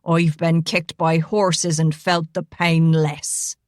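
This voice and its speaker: A woman's voice: sits low, little pitch movement, slow, a little mellow, no echo, pitched below the performer's own voice. Woman voicing a big Irishman, thick Irish brogue, teasing and charming, dry close read. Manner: playful thick brogue, lilting.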